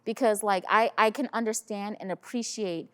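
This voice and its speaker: A woman of Haitian heritage speaking as a mentor in a casual panel discussion, emphatic and animated, with many fillers. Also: medium pitch, moderate pace, smooth. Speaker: staff mentor.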